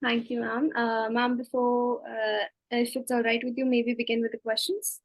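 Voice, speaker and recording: A woman's voice: on the high side, flat delivery, normal speed, no echo. A young woman from India with an Indian English accent, hosting an interview politely and stumbling over a few words. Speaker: interviewer, host.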